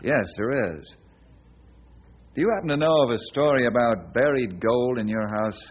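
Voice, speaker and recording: Man playing earnest western ranger, husky, old radio. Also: mid-range voice, normal speed, lightly acted. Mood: earnest, calm. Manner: earnest, folksy, persuasive. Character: steady, kindly male western ranger trying to help. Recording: dull, lo-fi old radio recording.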